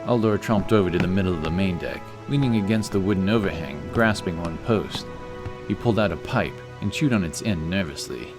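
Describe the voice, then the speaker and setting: A man's voice: low-pitched, a little fast, over music. A man reading a scripted fantasy story as an audiobook narrator.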